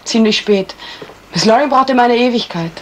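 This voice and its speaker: A woman's voice: on the high side, lightly acted, low-quality recording. An actress playing a cool, evasive woman witness in a 1970s German radio crime play, answering dryly. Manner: dry, evasive, unhurried answers.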